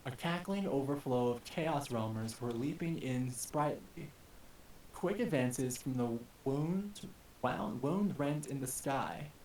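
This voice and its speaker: A man's voice: mid-range voice, expressive, takes it slowly, darkish tone. Male story narrator in an echoey room. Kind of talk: dramatic narration of an action story. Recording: echoey, distant mic with hiss.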